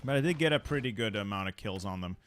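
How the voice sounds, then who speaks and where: A man's voice: on the low side, animated, quick pace, fairly bright tone. A man hosting a gaming channel, giving wry, playful live commentary on a strategy game, his voice slightly worn. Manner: wry, playful, jokey asides.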